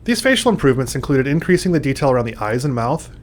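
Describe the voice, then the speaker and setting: A man gives scripted technical commentary at a fast clip. His medium-pitched voice moves up and down in a lively way as he explains his work as a developer. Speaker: game developer giving commentary.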